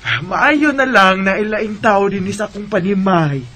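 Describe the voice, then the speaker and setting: An actress with a Visayan Filipino accent playing a kind, generous older single woman in a radio drama, gentle and reassuring. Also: medium pitch, animated, a little slow. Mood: kindly, reassuring.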